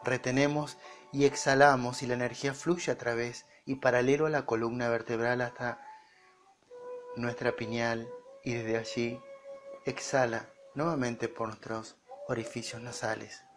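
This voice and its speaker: A man leading a guided meditation, speaking deliberately in short phrases with pauses between them. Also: mid-range voice, flat delivery. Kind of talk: slow guided meditation narration. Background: music behind the voice.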